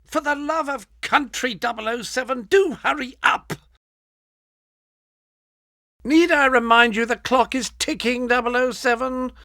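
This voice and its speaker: Casting: exasperated British spy quartermaster for a video game, very high bright voice, scolding and pompous. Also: male voice, steady tone, normal speed, no echo, clean studio sound, heavily acted.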